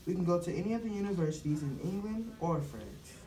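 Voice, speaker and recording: An earnest, intense romantic rival, voiced by a puppet-show performer, insisting on his claim. The delivery swings widely in pitch. It is recorded in a roomy space with the mic far off. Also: very animated, quick pace, mellow, lightly acted. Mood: measured. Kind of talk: amateur puppet show reading.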